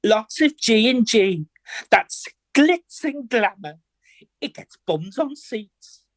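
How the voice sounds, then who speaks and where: Actress as lively showbiz woman, close and dry. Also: fairly high pitch, takes it slowly, no room sound, exaggerated voice. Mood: breezy. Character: showy theatrical woman Shazma, glitz-loving and chatty.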